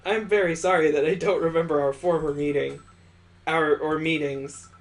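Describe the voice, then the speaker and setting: A man's voice: a little high, steady tone, moderate pace, lightly acted. Man voicing a hesitant, polite girl. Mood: awkward, apologetic. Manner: hesitant, apologetic. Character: Iris, polite, anxious, confused young girl.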